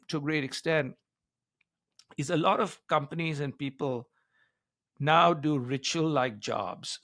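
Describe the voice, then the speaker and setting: A man's voice: medium pitch, gravelly, a bit bright, no echo, studio quality. An older man giving conversational, explanatory interview answers, laying out his points emphatically one by one. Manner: emphatic, explains in numbered points with acted-out quotes. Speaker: business author and advisor being interviewed.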